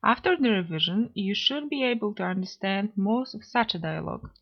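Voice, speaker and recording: A woman co-hosting a scripted beginner language lesson podcast, speaking in a clear, measured, instructive way on a slightly dull lo-fi recording. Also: mid-range voice, lively intonation. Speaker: language teacher, podcast co-host.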